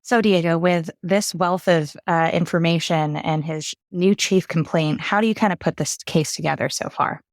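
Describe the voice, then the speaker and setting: A woman with a notably deep voice talks in a relaxed, conversational manner. Her voice is close and dry, with no room sound around it. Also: normal speed, few pauses, dry recording. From United Kingdom, raised in Canada. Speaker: cardiology fellow, guest.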